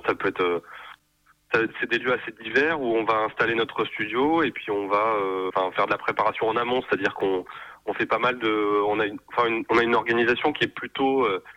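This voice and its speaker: A man's voice: on the low side, no room sound, lo-fi sound. A man giving casual spoken testimony as an interviewee about his own activity. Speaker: interviewee, pirate radio member. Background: music.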